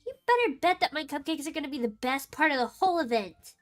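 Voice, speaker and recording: Visual novel role: proud, blunt teenage girl with a high voice, played by a woman. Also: big pitch swings, fast talker, faint music behind. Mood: huffy, proud.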